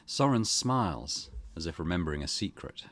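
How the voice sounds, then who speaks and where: A man's voice: low-pitched, very animated, normal speed, fairly bright tone. A man hosting a let's play, giving casual, wry commentary and reading game narration aloud. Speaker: gaming video host. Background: game music.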